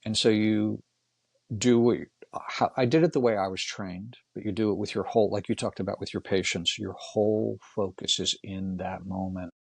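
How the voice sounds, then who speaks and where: An older man with a gravelly, husky voice, telling personal stories casually as a guest, in a reflective way with pauses between short phrases. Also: fairly low pitch, steady tone, a little mellow, dry recording. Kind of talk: casual storytelling in conversation.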